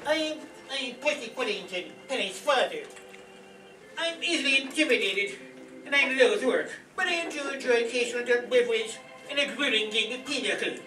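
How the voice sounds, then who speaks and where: A woman's voice: fairly high pitch, animated, moderate pace, sharp tone. A woman playing a timid, easily intimidated father in a radio soap parody, meek and hesitant. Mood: sheepish. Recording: distant echoey stage, faint noise.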